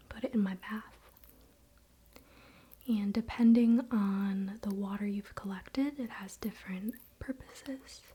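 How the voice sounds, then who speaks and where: A woman whispering softly in a breathy voice, speaking as an ASMR host giving calm spiritual guidance. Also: medium pitch. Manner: soft, slow, unhurried.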